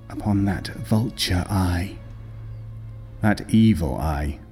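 A man's voice: medium pitch, warm tone, breathy edge, full character voice. An actor playing a nervous, obsessive madman confessing a murderous plot, insistent and intense, in old-time radio horror style. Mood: hushed, sinister. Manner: insistent, intense, deliberate self-justifying delivery. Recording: long reverb, music behind.

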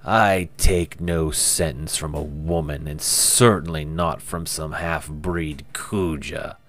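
A man's voice: rumbling low, fairly even intonation, slow, a bit bright, soft background music, full character voice. A man voicing a defiant, cruel male captive who talks with a sneer.

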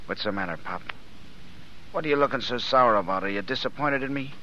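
An actor playing a restless young tough drifter with clipped, slangy American talk, in an old-time radio drama. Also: male voice, mid-range voice, brisk, natural voice. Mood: flippant. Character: restless tough young drifter and reluctant thief, gruff but soft underneath. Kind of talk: 1930s-40s American radio drama acting. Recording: muffled, noisy old radio recording.

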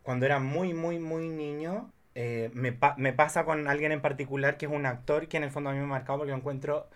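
Male, thirties. Fairly high-pitched, expressive, rambling podcast conversation with a camp touch. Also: fairly quick. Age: 30s. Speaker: podcast guest or co-host discussing films. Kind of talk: casual conversational chat.

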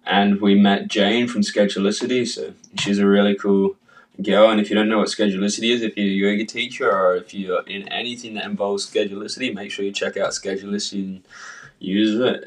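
A man co-hosting a casual podcast chat, a bit rambling and relaxed, with a cold. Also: fairly low pitch, distant mic, poor audio. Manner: relaxed, rambling, guided breathing intro. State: has a cold, coughing. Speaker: podcast co-host.

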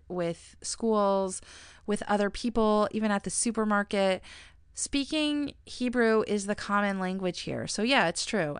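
A woman's voice: mid-range voice, clear, resonant tone, dry recording, clean studio sound. A middle-aged woman giving relocation advice in a chatty, emphatic way, laughing often. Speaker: relocation advisor giving tips. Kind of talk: casual advice talk to camera.

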